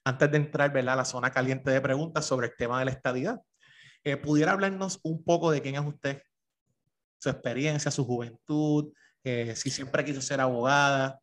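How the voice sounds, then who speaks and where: A Puerto Rican man hosts a podcast interview in a formal, deferential tone. His voice sits at a middle pitch, and he often pauses on "eh" between phrases. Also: steady tone, a bit bright. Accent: Puerto Rican Spanish. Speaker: podcast host. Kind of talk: formal interview with a sponsor read.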